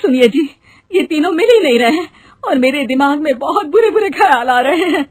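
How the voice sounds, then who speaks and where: A woman voicing an anxious, pleading village mother in a cartoon story, expressive and drawn-out. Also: high-pitched, no echo, studio quality. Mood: worried.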